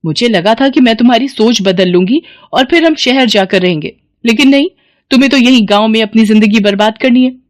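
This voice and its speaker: A woman's voice: a little high, expressive, a little fast, dry recording, studio quality, close to an everyday voice. A woman voicing a spoiled, haughty young woman who whines and snaps. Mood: indignant.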